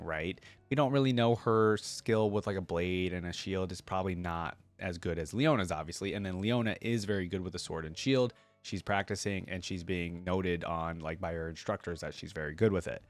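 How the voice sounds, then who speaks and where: A man's voice: low-pitched, normal speed, hardly any pauses, no room sound. A man giving casual commentary and reading game lore aloud, explaining things and often checking in with the listener. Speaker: reaction video host.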